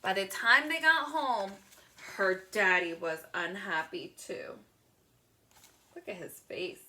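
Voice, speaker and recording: A woman reading a picture book aloud to young children in an expressive teacher's manner, drawing words out. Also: mid-range voice, slow, a little hiss or hum. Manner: expressive, asks the listener questions, gasps, draws words out.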